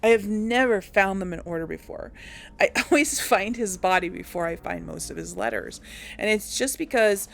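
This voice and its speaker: A woman's voice: mid-range voice, slight background noise. A woman hosting a casual game playthrough, talking in a rambling, hesitant way with many fillers and restarts. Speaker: let's play host. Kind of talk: casual gameplay commentary.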